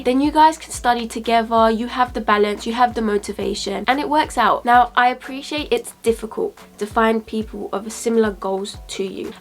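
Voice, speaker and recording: A young woman talks in a chatty, upbeat way, straight to her viewers. Her nose is blocked and a little sniffly. Her voice sits a bit higher than most women's. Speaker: finance vlogger. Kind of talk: casual talking-to-camera advice.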